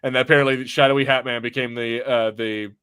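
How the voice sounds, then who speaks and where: A man's voice: medium pitch, steady tone, quick pace, pushed and bright, clean tone. A man with a mid-range voice co-hosts a pop-culture podcast. He talks in a casual, bantering, conversational way. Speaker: podcast co-host.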